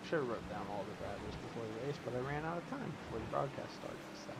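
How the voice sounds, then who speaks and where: A man calling a sim race, casual and rambling, with asides. He is losing his voice and clears his throat now and then. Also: medium pitch, lively intonation, fast. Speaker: race commentator. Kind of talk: sim racing play-by-play commentary. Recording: loud engine noise behind. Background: race car engine sounds.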